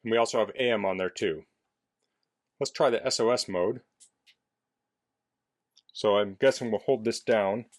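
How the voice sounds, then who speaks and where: A man's voice: fairly low pitch, normal speed, pauses often. A man casually demonstrating a product indoors in a basement, explaining things in a plainspoken way as a reviewer.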